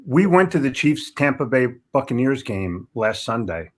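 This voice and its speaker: A man's voice: mid-range voice, big pitch swings, normal speed. An American man chatting casually as a guest, with a blunt, joking, teasing manner. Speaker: interview guest. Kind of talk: casual chat.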